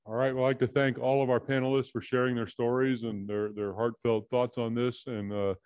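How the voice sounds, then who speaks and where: Brisk male moderator, dull sound. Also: mid-range voice, level tone, darkish tone, clear, resonant tone. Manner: brisk, keeps time. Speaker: panel moderator. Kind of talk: moderating a panel.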